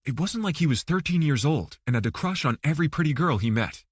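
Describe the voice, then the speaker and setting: A man's voice: fairly high pitch, expressive, fast, husky, dry recording, studio quality. A man reading a thriller novel aloud as an audiobook narrator. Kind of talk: scripted fiction narration.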